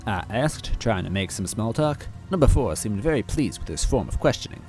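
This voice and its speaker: A man reading a story aloud in first person, with deadpan, mock-earnest narration. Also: fairly low pitch, moderate pace, faint music behind. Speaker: story reader.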